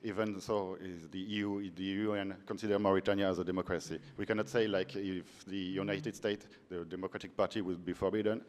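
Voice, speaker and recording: A man interpreting on a conference panel, halting, with many restarts and fillers. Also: low-pitched, steady tone. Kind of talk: consecutive interpreting. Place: large conference hall. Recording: long hall reverb.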